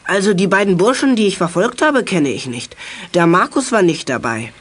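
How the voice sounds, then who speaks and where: A young performer playing a gruff, aggrieved teenage boy detective in a German children's radio play from the 1980s. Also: medium pitch, expressive, moderate pace.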